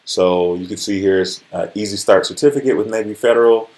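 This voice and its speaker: Low-voiced man in his forties, casual livestreamer. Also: brisk, darkish tone. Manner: conversational, frequently checks in with listeners. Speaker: livestream host. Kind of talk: casual motivational livestream chat.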